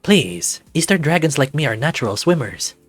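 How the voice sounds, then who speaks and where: A man's voice: a little high, very animated, normal speed, breathy edge, no echo, faint music behind. A man voicing a proud, self-assured dragon lawyer in a visual novel game, in a composed manner. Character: suave, proud male dragon lawyer in a suit.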